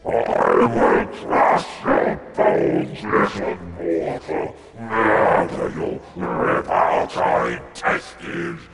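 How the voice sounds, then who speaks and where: An actor playing a bloodthirsty, menacing demon full of theatrical contempt in a fantasy game cutscene. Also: male voice, sits low, huge pitch swings, extremely slow, vocal fry, few pauses, heavily acted. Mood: gloating menace. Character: raging, bloodthirsty male demon mourning his love. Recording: echoing voice with music behind.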